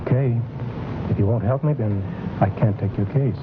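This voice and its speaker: A man's voice: lowish voice, normal speed, natural voice. An actor playing a firm, businesslike defense lawyer pressing his point in an old-time radio drama. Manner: insistent, businesslike. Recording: phone-like, very noisy old radio recording.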